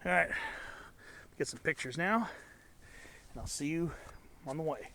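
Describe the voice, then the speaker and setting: Congested man, slightly high voice, enthusiastic hiking vlogger, outdoors, faint noise. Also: animated, unhurried, pushed and bright. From Southern California. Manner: enthusiastic, rambling, botanical asides. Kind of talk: casual walk-and-talk narration. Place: outdoors in a canyon on a trail.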